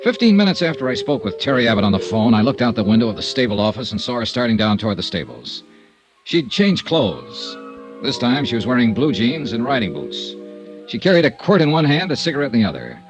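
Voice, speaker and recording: A man's voice: medium pitch, very animated, a little fast, music in the background, natural voice, poor audio. An actor playing a blunt, sardonic insurance investigator who narrates his own case in a 1950s radio noir drama. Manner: dry, clipped, sardonic. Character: hard-boiled insurance investigator, wry and blunt. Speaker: narrator and lead detective.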